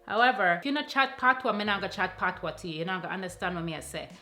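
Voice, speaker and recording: A woman's voice: mid-range voice, lively intonation, racing, bright, forceful tone, soft background music. Chatty Jamaican woman in her thirties. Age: 30s. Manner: chatty and playful, laughs often, sassy asides. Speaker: YouTuber. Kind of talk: casual Q&A vlog. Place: sitting alone in a room at home. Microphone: camera mic, talking straight to camera.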